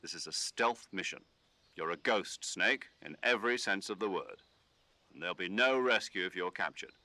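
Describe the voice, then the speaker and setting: A man voicing a calm commanding officer who gives instructions over the radio in a game. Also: deep voice, dry recording. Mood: steady. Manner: measured, instructive briefing tone. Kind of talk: game dialogue.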